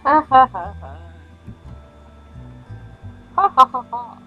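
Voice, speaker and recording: A woman's voice: high-pitched, very animated, takes it slowly, phone-quality sound, faint music behind, pitched above the performer's own voice, heavily acted. A woman voicing the confused, distrustful and sarcastic human protagonist of a visual novel.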